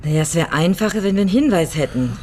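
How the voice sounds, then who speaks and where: Actress playing sharp lady lawyer in a radio mystery, deep-ish voice, dry n matter of fact, bit of background noise. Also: animated, very fast, natural voice. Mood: businesslike. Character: dry, shrewd woman lawyer with a grown son. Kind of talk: German radio crime play dialogue.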